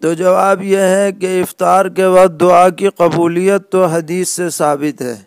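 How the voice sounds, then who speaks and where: A man who speaks as an Islamic scholar, answering religious questions in a measured, deliberate way with pauses mid-sentence. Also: high voice, little pitch movement, vocal fry, clear, resonant tone, dry recording, soft background music. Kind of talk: religious question-and-answer lecture in plain rulings.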